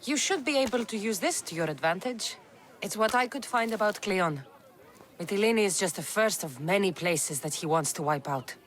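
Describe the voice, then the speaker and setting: A woman's voice: mid-range voice, expressive, normal speed, natural voice. Game casting: a woman playing a bold, direct warrior-mercenary, resolute in every line.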